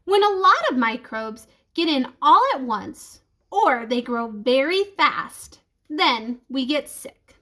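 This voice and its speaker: A woman's voice: sits high, lively intonation, takes it slowly, bright, forceful tone, full character voice. A woman reading the part of an enthusiastic, reassuring female science teacher in a children's storybook, explaining things patiently.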